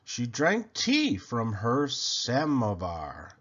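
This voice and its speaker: A man reading a children's picture book aloud expressively, with theatrical, deliberate phrasing, on slightly dull, lo-fi audio. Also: mid-range voice, huge pitch swings, a bit bright.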